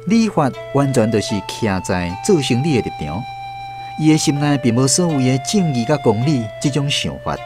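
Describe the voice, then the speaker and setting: A man's voice: medium pitch, big pitch swings, normal speed. A man with a Taiwanese accent narrating a radio drama as a scripted storyteller. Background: music.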